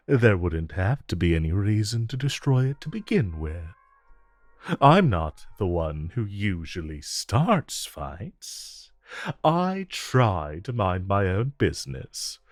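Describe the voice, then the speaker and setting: A suave male supervillain played by a man flirts in a romantic audio roleplay. His voice is on the low side and moves at a slow, teasing pace, with faint music underneath. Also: no echo, heavily acted. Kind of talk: romantic audio roleplay acting addressed to the listener.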